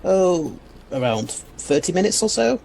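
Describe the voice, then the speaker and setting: A man playing a proud, eccentric alien scientist and time traveler in an audio drama, gravelly and formally courteous. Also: high voice, big pitch swings, a little slow, a little mellow, slight background noise, exaggerated voice. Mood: proud, stubborn.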